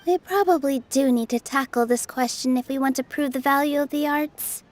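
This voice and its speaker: An actress playing a gentle, modest young theatre dancer in a video game, soft-spoken and earnest. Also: female voice, high voice, normal speed, close to an everyday voice.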